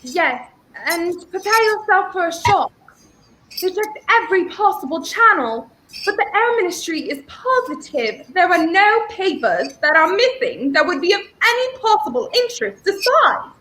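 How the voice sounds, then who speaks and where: A woman's voice: very high voice, sing-song, moderate pace, pushed and bright, exaggerated voice, poor audio. A young woman playing an earnest, prickly romantic heroine in a 1930s-style radio thriller. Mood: earnest, shaken. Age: young adult. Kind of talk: 1930s radio play acting.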